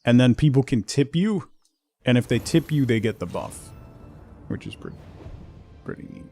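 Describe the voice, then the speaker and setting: Man, medium-pitched voice, casual game commentary, chatty thinking aloud, soft music in the background. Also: expressive, moderate pace. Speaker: game streamer. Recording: music behind the voice.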